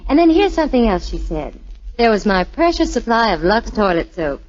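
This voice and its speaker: Woman radio spokesperson, 1940s, scripted chatty delivery, highly varied intonation, dull old broadcast. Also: sing-song, a little fast, a little mellow, slight background noise. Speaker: advertising spokeswoman.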